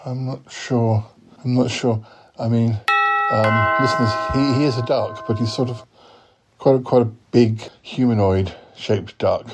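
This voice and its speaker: A man's voice: mid-range voice, fairly even intonation, a little slow, over loud music, natural voice. A man playing a flustered, polite podcast host, hesitant and apologetic, stammering through a whimsical audio story.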